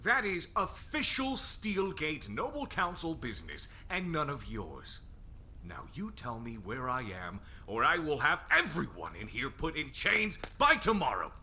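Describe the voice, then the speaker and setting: A man's voice: a little high, wildly swooping pitch, unhurried, bright, forceful tone, slight background noise, heavily acted. A man playing an arrogant, threatening slave hunter in a roleplay drama, indignant, haughty and aggressive. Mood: menacing, indignant. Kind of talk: scripted roleplay acting.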